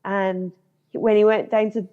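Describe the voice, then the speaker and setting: A woman's voice: mid-range voice, flat delivery, moderate pace, smooth. A young woman from Northern Ireland, a guest actor chatting casually in an interview and telling stories with lots of fillers. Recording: dull lo-fi sound.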